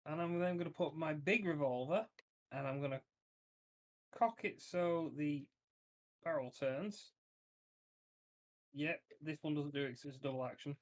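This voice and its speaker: This is a man with a voice a little higher than average. He is a player at a tabletop roleplaying session, speaking casually and swearing freely. He declares his character's moves and slips into in-character lines. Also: measured pace, pauses often.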